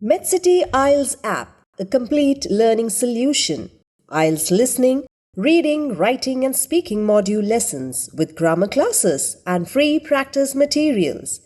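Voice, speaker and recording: A woman reading a scripted promotional ad in an upbeat way. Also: measured pace. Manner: upbeat, lively pitch. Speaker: ad voice-over. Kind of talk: promotional ad read.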